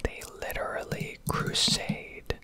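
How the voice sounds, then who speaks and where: A young adult woman speaking in a breathy, unvoiced ASMR whisper close to the microphone, rambling in an opinionated, sweary way with hesitant pauses. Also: bright, forceful tone. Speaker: ASMR gaming streamer. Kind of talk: whispered ASMR rambling rant over gameplay.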